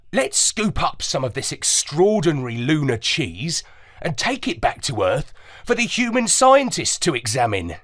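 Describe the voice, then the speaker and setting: A woman's voice: low-pitched, fairly bright tone, slightly rough, no echo, studio quality, full character voice. Woman doing a sensible space-dog astronaut. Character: loyal, level-headed male space dog. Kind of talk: children's storybook reading.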